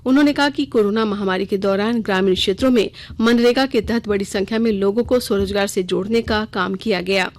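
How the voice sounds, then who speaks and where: Woman reading a radio news bulletin. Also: medium pitch. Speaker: news reader.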